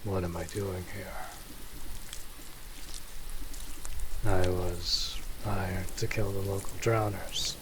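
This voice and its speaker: A man playing a stoic but gentle young monster hunter in a roleplay audio drama, terse, measured and soft-spoken. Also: deep voice. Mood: matter-of-fact, reassuring. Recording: steady rain noise throughout. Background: rain and cave ambience.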